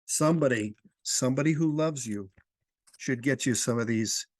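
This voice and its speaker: A man leads a conversational interview with a jokey, encouraging touch. His voice sits at a middle pitch and is recorded dry, with little room around it. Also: moderate pace, dry recording.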